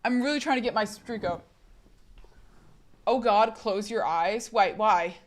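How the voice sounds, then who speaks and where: A woman streaming live and chatting casually with her audience, often repeating herself and apologizing in quick bursts. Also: medium pitch. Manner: rapid repeated apologies and asides to chat.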